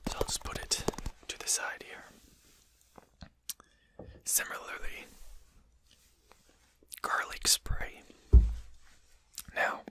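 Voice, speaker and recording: A performer voicing a composed, courteous, faintly mocking vampire in a breathy, articulate whisper for an ASMR roleplay. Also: close to an everyday voice. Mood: dryly amused. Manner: slow, articulate, formal, gently mocking. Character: composed, courteous, condescending vampire addressing a hunter.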